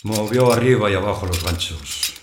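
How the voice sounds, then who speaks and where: An actor playing a lonely abandoned householder, narrating his story in a measured, plain way for an audio drama. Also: lowish voice, close to an everyday voice. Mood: subdued, reflective. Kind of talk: first-person literary narration in audio fiction. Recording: roomy echo, clear background noise.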